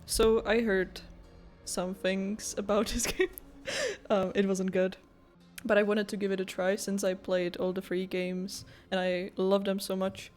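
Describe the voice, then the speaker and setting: A woman's voice: mid-range voice, flat delivery, measured pace, darkish tone, soft background music. A young woman doing informal, rambling playthrough commentary in a casual, giggly way. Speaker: gaming video creator.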